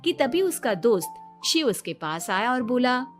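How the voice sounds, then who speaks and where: A woman's voice: a little high, big pitch swings, unhurried, soft background music. A woman narrating a scripted cartoon story.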